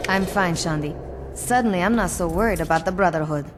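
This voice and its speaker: A woman's voice: lowish voice, brisk, lightly acted. An actress playing a tough, hard-edged female gang leader, blunt and no-nonsense, in a video game. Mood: guarded. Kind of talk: video game cutscene acting. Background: clear music.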